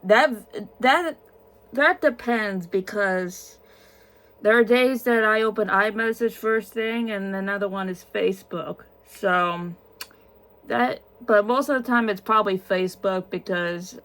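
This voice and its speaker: A woman's voice: mid-range voice, a bit bright. A woman in her twenties, tired, answering viewer questions in a casual vlog with many ums and restarts. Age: 20s.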